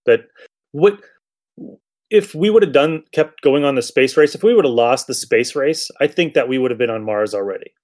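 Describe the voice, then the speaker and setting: A man's voice: mid-range voice, normal speed, darkish tone. Irreverent, blunt man chatting casually. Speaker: podcast host. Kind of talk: casual chat, discussing an old radio show.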